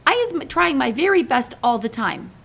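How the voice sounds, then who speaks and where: A woman's voice: sits high, sing-song, moderate pace, vocal fry, a bit bright, pitched above the performer's own voice, full character voice. A woman reading aloud and voicing a kindly, forlorn old male giant who mangles his grammar and invents words. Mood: wistful. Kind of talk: storybook read-aloud.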